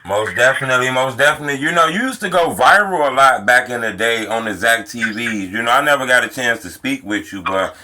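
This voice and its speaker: A man's voice: medium pitch, lively intonation, a little fast, hardly any pauses, bright, forceful tone. An American man hosting a street-culture interview channel, speaking in casual, conversational slang. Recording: music behind the voice.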